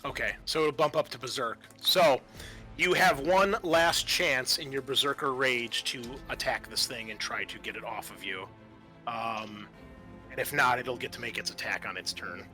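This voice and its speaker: A man's voice: mid-range voice, normal speed, music in the background. A man acting as game master, narrating a tabletop roleplaying game in casual, sweary language.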